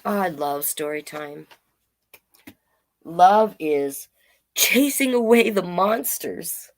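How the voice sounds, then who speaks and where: A woman hosting a children's storytime online, reading deliberately and adding casual, chatty asides. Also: fairly low pitch, animated. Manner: slow, deliberate read-aloud delivery with chatty asides. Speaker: drag king storytime host.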